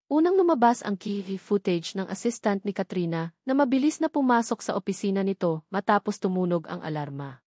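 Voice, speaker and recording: A woman narrates a scripted love drama as its storyteller. Her voice sits at a middle pitch, and the recording is dry, with hardly any room sound. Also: dark tone, dry recording.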